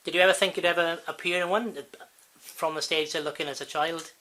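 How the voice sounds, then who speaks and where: A man's voice: on the high side, steady tone, fairly quick, pushed and bright, rough recording. A man asking questions as an interviewer.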